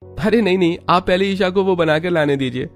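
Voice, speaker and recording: A man's voice: high-pitched, brisk. A man playing a playful, proud young husband in an acted family story, with music behind. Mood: teasing.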